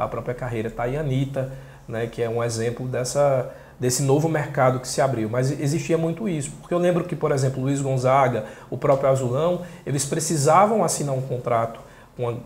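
A man in his thirties with a Pernambuco accent from northeastern Brazil, talking casually in a soft voice as an interview guest. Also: mid-range voice, slight background noise. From Caruaru, northeastern Brazil. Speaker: interview guest, singer-songwriter and journalist. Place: small indoor room. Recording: echoey small room.

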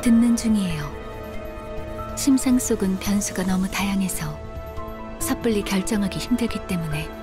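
Cool, measured female strategist, mid pitch played by a woman. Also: lightly acted. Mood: detached. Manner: formal, measured, matter-of-fact. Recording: music under voice.

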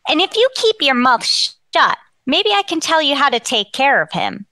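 An actress playing a sharp-tongued, easily offended, man-hungry tavern woman in 1940s radio comedy style. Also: high-pitched, sing-song, normal speed, no echo, heavily acted. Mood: huffy, haughty. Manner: haughty, indignant, snappy comebacks.

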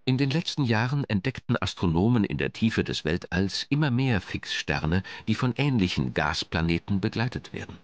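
A man reading scripted documentary narration in a measured, dramatic manner. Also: lowish voice, very animated, no echo. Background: music.

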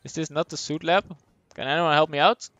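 A young man from the Netherlands with a Dutch accent, chatting casually as he streams a game, answering chat and thinking out loud. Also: on the high side, steady tone, brisk, lo-fi sound, soft background music. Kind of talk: live gameplay commentary, reading chat.

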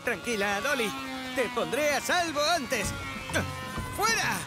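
A very high, slow-spoken young fireman, timid and dramatic, in a cartoon dub. He sounds clumsy and easily rattled, with loud music playing behind him. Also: animated, heavily acted. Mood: nervous resolve. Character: timid, anxious, clumsy young male firefighter. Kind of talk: dubbed children's cartoon acting.

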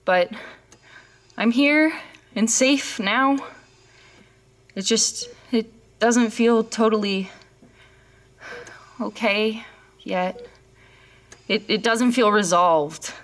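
A woman playing a frightened, traumatised captive in an audio horror drama, halting and pleading. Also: a little high, fairly bright tone. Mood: shaken recollection.

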